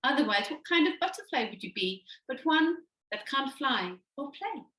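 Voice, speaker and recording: A woman voicing a wise, gentle male butterfly in a storybook. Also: high-pitched, very animated, moderate pace, echoey room, voice pushed up, exaggerated voice. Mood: reassuring.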